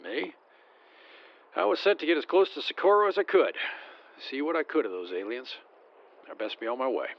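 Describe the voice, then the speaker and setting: Man playing a cocky, animated American army man. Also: medium pitch, brisk, pauses often, muffled recording, lightly acted. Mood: cocky, breezy. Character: cocky, brisk military man scouting alien invaders. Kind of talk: audio drama acting.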